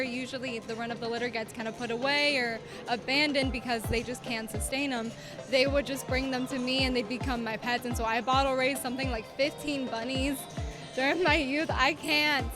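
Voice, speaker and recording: A woman's voice: high-pitched, steady tone, fairly quick. A young woman from Cuba with a slight Southern US accent, her first language Spanish, chatting upbeat and polite as a pageant contestant. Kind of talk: casual interview answers. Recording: music playing behind.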